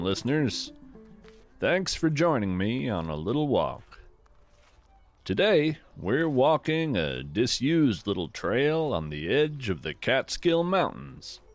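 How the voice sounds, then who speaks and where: A man playing a gentle, folksy naturalist who hosts a scripted fiction podcast, musing poetically and with wonder about the woods. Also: mid-range voice, gravelly, no echo, heavily acted. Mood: welcoming, then curious, musing. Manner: reflective, poetic, unhurried musing. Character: gentle, wonder-struck folksy naturalist narrating a woodland walk. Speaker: podcast host.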